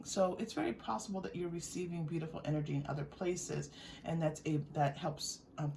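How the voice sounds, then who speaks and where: A woman giving an unscripted, intuitive tarot reading straight to viewers, speaking gently and reassuringly in halting, searching phrases with repeated words. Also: deep voice, gravelly. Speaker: tarot reader. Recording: distant mic with faint background noise.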